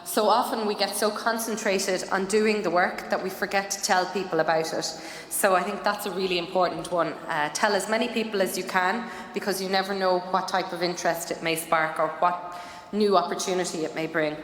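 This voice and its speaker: A woman's voice: on the low side, fairly even intonation, fairly quick, fairly bright tone. Give me a woman giving a talk in a reverberant hall, earnest, with some ums. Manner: earnest, with frequent fillers. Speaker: conference speaker. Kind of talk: conference presentation. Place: conference hall. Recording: echoey hall, faint noise.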